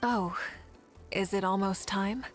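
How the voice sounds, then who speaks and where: A woman's voice: mid-range voice, fairly even intonation, takes it slowly, lightly acted. A woman voicing a composed, reassuring warrior leader in an anime video game, speaking kindly and with poise. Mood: fond. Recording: game audio with faint background music.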